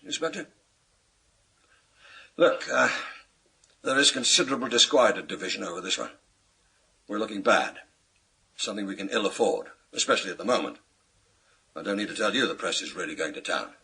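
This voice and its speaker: An actor playing a pompous, image-obsessed senior British police chief in a radio thriller, formal and given to pauses. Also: male voice, on the low side, lively intonation, sharp tone, husky, exaggerated voice. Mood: weary, worried. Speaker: actor in a radio crime drama.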